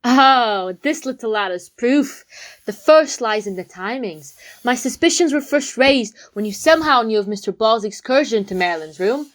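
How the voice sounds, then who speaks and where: A woman's voice: on the high side, animated, moderate pace, natural voice. A woman playing an earnest young amateur detective, explaining a case theatrically in an audio drama. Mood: dramatic, confident.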